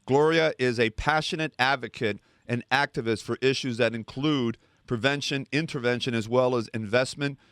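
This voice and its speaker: A man hosting a business radio show, introducing and questioning a guest in a halting way with many restarts. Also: mid-range voice, a bit bright, clean tone, dry recording, studio quality. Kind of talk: interview hosting.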